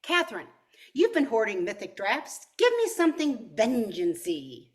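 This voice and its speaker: A woman's voice: a little high, big pitch swings, normal speed. An older woman playing an assertive, ambitious artistic wife with a dramatic, bossy manner in an amateur radio comedy. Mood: impatient, rousing.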